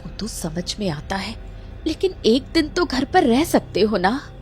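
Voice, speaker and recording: Woman playing slow, worried cartoon mother. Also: a little high, sing-song, a little mellow, faint music behind. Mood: nagging. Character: worried, nagging, protective mother. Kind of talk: Hindi cartoon moral story acting.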